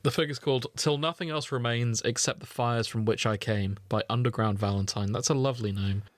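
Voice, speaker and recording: Guy doing a casual podcast, mid pitched voice, jokey and irreverent, swears a bit, no room sound. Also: brisk, no echo, studio quality. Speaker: podcast co-host. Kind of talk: casual banter.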